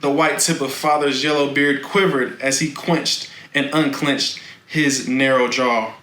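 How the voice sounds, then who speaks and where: Slow, bright-voiced man reading a story. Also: mid-range voice, steady tone. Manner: deliberate, dramatic. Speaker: storybook reader. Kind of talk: expressive scripted children's novel narration. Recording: echoey room, faint background noise.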